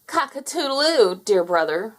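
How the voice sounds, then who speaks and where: A woman's voice: on the high side, sing-song, a little slow. A woman voicing a scheming young rooster prince who cackles and taunts his brother. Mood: taunting.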